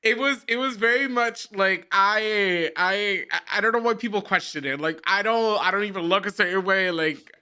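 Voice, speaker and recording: A man's voice: sits high, normal speed, few pauses, pushed and bright, clear, resonant tone. A man whose first language is Spanish, with a slight Spanish accent, co-hosting an interview and speaking earnestly. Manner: earnest, laughs easily. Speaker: co-host interviewer. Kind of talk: casual interview talk.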